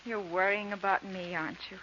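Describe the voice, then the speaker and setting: An actress playing a gentle, ailing, devoted wife in a 1940s radio melodrama, soft and tender. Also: female voice, mid-range voice, fairly even intonation, brisk, close to an everyday voice. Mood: tender, worried. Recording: dull, hissy old radio recording.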